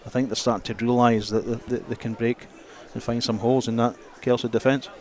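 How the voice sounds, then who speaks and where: This is a man from the commentary team. He adds chatty analysis to live rugby in a fairly flat, mid-pitched voice, outdoors at the ground. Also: brisk. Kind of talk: conversational live sports analysis.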